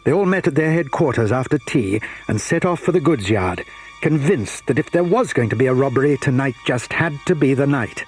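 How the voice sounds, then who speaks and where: A man reading the narration of a children's radio drama in a measured storytelling way. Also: medium pitch, expressive, faint music behind.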